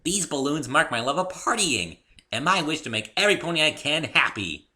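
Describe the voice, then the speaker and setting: A man voicing a bubbly, excitable young female cartoon pony with a perky, exclamatory delivery in a story reading. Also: fairly high pitch, brisk, voice pushed up, exaggerated voice. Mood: excited.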